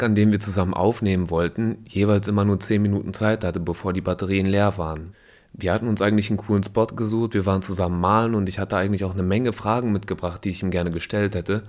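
A man hosting a podcast, talking in a relaxed, chatty way with slang and fillers while he has a cold. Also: lowish voice. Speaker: podcast host. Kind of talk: casual podcast chat.

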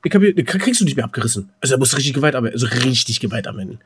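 A man's voice: medium pitch, sing-song, very fast, slightly rough. A man chatting casually as a podcast co-host, in a joking, rambling manner. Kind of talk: casual chat.

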